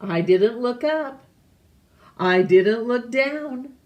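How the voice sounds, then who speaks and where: A woman reading a children's picture book aloud, deliberately, with pauses between phrases. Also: fairly high pitch, expressive. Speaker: storytime reader.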